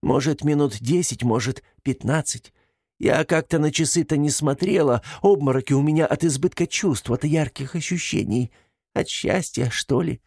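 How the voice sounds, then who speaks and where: A man voicing a flustered, ashamed older woman who pleads haltingly in a breaking voice, in an audiobook. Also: a little high, creaky, darkish tone, husky, dry recording, clean studio sound, voice pushed up. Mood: pleading, confessional. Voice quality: breaking, tearful voice.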